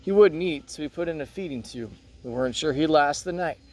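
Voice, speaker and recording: A man reading a play aloud as a serious, caring veterinarian, explaining in a measured way. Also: a little high, a little mellow, close to an everyday voice. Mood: grave. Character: Doctor Hayes, serious caring turtle veterinarian.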